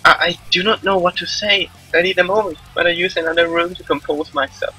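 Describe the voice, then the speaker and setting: Man putting on a higher-pitched, prim spirit girl. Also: fairly even intonation, fast, sharp tone, husky, heavily acted, poor audio. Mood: flustered, guarded. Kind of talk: live amateur visual novel dubbing. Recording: music playing behind the voice.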